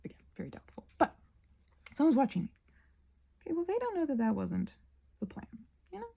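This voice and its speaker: A woman chatting casually in a soft-spoken, digressive, self-deprecating ramble as she works on a craft. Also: high-pitched, sing-song, a little fast, creaky. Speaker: craft vlogger. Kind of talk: casual rambling chat while painting.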